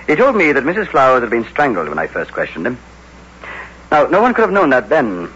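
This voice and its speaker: An actor playing a blunt, sceptical but reassuring police investigator in an old radio drama. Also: male voice, mid-range voice, wildly swooping pitch, fairly quick, a bit bright, husky, heavily acted. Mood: grave. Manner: matter-of-fact, sceptical. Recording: muffled, faintly noisy old radio recording.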